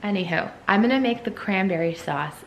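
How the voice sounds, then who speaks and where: A woman's voice: medium pitch, animated, fairly quick. A woman vlogging casually while she cooks in her home kitchen, chatting with lots of fillers. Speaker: homemaking vlogger. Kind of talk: casual cooking vlog chat. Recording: echoey kitchen, faint room noise.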